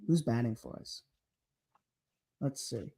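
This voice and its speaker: A man's voice: mid-range voice, slow, dark tone. Young man, from Baltimore, podcast co-host, casual sports talk, stumbles and restarts. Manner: chatty, stumbles and restarts often. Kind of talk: casual sports chat, live game updates.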